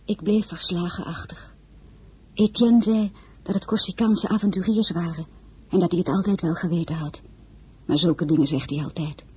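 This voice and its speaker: A woman's voice: fairly low pitch, steady tone, normal speed, a little mellow, breathy edge. A woman playing an earnest young merchant's daughter in a radio drama, who also narrates her story. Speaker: narrator and lead character.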